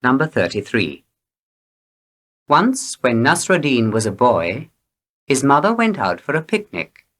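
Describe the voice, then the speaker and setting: A man's voice: mid-range voice, sing-song, measured pace, long pauses, a bit bright. A man reading short elementary stories aloud as scripted narration for learners. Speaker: story reader.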